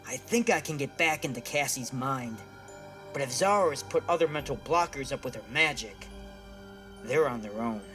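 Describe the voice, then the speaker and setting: A man's voice: mid-range voice, animated, normal speed, fairly bright tone, lightly acted. A man voicing a big hairy ape, a sheepish, defensive reformed villain with slangy talk in a comedic audio drama. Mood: focused. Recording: music bed behind the voice.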